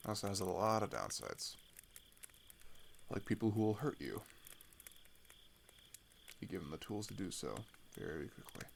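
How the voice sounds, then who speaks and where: Low, gravelly teenage climber, acted by a man. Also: big pitch swings, slight background noise, full character voice. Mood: candid, sheepish. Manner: halting, confiding, conversational. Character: open-hearted teenage male climber fleeing across a border, weary but caring. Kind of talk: ASMR roleplay audio drama.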